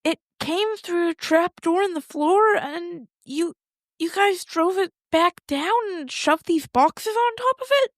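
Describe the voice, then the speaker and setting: A woman's voice: very high-pitched, expressive, slow, no room sound, heavily acted. A woman playing an anxious, squeamish, kind-hearted girl in an audio drama, rambling and hesitant as she blurts out questions. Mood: puzzled, wondering. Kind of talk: audio fiction acting, naturalistic.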